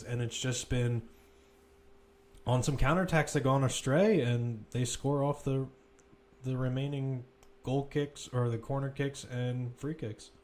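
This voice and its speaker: A man commentating live on a football match, mixing play-by-play calls with informal, conversational analysis. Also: mid-range voice.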